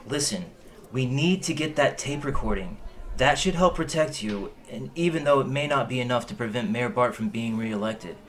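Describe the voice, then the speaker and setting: Man playing a steady, concerned confidant. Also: medium pitch, moderate pace, close to an everyday voice. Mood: worried, reassuring. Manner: reassuring, earnest. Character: concerned, steady male confidant, protective problem-solver. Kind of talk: audio drama dialogue.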